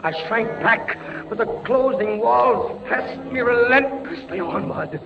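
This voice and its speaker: An actor playing a condemned captain in a dungeon, with anguished, melodramatic 1940s radio delivery. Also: male voice, extremely high pitch, a little slow, vocal fry, over music, exaggerated voice. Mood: haunted narration. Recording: muffled, noisy 1940s radio recording.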